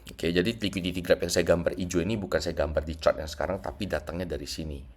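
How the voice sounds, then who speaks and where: A man's voice: low-pitched, steady tone, fast talker. A man with a congested, stuffy nose, explaining trading charts in a casual screen-share tutorial and mixing English terms into his talk. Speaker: trading teacher.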